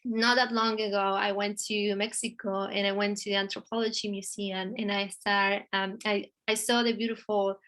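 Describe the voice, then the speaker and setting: A woman from Argentina with an Argentinian Spanish accent, an artist in a virtual conversation, talking slowly and haltingly with many restarts. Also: mid-range voice, little pitch movement, fairly bright tone, low-quality recording. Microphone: video call.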